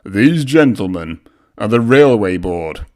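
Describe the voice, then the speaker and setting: A man's voice: lowish voice, animated, takes it slowly, a little mellow. A man voicing a proud, reassuring middle-aged railway boss in a storybook, speaking with authority. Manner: declarative, authoritative.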